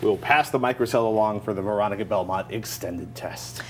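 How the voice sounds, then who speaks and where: A middle-aged American man with a fairly low voice co-hosts a tech show. He talks informally and emphatically, laying out recommendations as if chatting. Light noise sits behind him in the studio. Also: a little fast. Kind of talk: conversational product recommendations. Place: TV-style studio at a desk. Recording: faint background noise.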